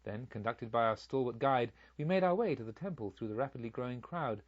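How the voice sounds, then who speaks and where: A man reading a story aloud in a measured, formal, expressive storytelling style. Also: medium pitch, dry recording, lo-fi sound. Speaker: audiobook narrator. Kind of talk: scripted dramatic storytelling narration.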